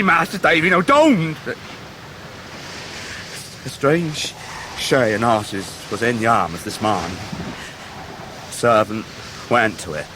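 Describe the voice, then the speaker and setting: Heartbroken rustic young fisherman, wide-swinging voice. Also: mid-range voice. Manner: halting, rustic, deferential. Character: heartbroken, honest young working-class fisherman. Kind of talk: period radio drama acting. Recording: noisy 1990s radio drama recording.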